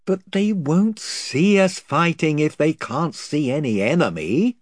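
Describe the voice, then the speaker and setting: A man's voice: on the high side, animated, a little slow, no echo, clean studio sound, voice pushed up. A man voicing a gloomy young boy who complains miserably. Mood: glum. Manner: glum, complaining.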